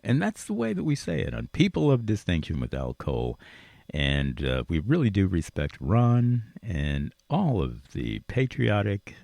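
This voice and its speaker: Deep-voiced, animated older male radio host. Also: a little slow, creaky, dry recording. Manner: earnest, preachy, emphatic, addresses listeners directly. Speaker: radio talk show host. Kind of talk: reflective motivational monologue to listeners.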